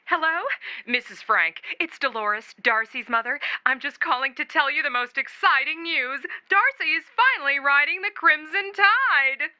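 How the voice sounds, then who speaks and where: Woman as a high-voiced brash mother, muffled line. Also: expressive, moderate pace, noisy background. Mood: bragging. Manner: crass, bragging. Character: brash, boastful mother phoning everyone she knows.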